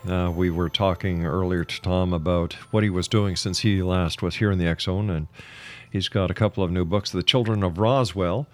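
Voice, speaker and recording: Deep-voiced radio host. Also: male voice, steady tone, moderate pace, faint music behind. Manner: polished announcer delivery. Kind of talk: radio show presenting and interviewing.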